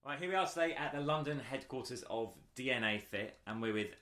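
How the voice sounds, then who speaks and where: A middle-aged male podcast co-host with a bright, forceful tone. He opens the interview hesitantly, padding his sentences with fillers, and his voice bounces around an echoey room. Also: medium pitch, lively intonation, a little fast.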